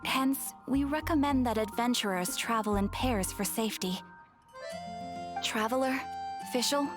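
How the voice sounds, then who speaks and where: Guild receptionist played by a woman, fairly high voice, formal and composed, earnest briefing in a game cutscene. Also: over music, close to an everyday voice. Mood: earnest, cautioning. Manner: formal, measured, polite. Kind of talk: video game cutscene acting.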